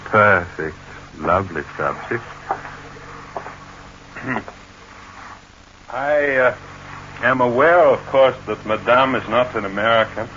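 An actor playing a disguised middle-aged portrait painter, formal and ingratiating, in an old radio play. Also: male voice, mid-range voice, lively intonation, takes it slowly, pauses often, bright, forceful tone. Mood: obsequious. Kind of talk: 1940s radio acting. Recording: muffled old radio recording, faint hiss.

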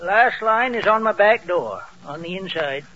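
Dry, high-voiced old farmer. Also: male voice, expressive, a little slow, background noise. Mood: dry boasting. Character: deadpan old New England-style rural farmer. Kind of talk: 1940s radio comedy acting. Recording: dull old radio recording.

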